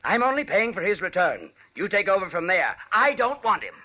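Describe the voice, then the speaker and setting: A crusty, bossy old miser in vintage radio style. His fairly high voice rises and falls sharply, on a dull old recording. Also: sing-song, brisk. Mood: crusty, grudging. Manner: gruff, imperious. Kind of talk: 1940s radio acting.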